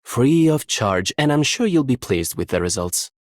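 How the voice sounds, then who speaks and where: A man's voice: mid-range voice, big pitch swings, moderate pace, a little hiss or hum, lightly acted. A man voicing an easygoing, obliging craftsman in a video game. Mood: friendly, obliging. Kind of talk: scripted game character voice lines.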